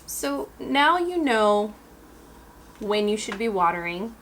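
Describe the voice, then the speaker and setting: A woman's voice: mid-range voice, slow, a little hiss or hum. Chatty woman losing her voice. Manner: chatty, relaxed, and explanatory, addressing viewers directly. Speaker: houseplant care channel host. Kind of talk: casual instructional talk.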